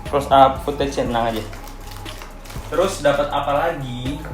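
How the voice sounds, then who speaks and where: Excitable young Jakarta man, echoey room. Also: medium pitch, moderate pace. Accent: Jakarta Indonesian. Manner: excitable, colloquial. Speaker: vlog host. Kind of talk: casual unboxing chat. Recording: echoey room with background music.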